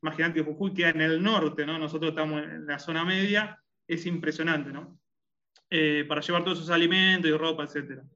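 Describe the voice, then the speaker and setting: A man's voice: on the high side, steady tone, brisk, bright, forceful tone, lo-fi sound. A man with a Rioplatense accent, a guest in a conversational interview, talking with many fillers, restarts and hesitations. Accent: Rioplatense Spanish. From Argentina and Uruguay.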